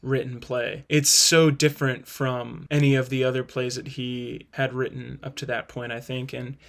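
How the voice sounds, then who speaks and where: A man's voice: medium pitch, level tone, normal speed, vocal fry, a bit bright. A man hosting a ranking video, giving casual, enthusiastic review commentary in an informal way. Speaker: host of a play-ranking video.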